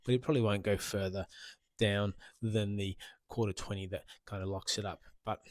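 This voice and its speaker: A man's voice: on the low side, fairly even intonation, normal speed, no echo. A man talking casually to camera as a product reviewer, informal and repeating himself, speaking into a handheld wireless microphone. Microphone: handheld wireless interview microphone.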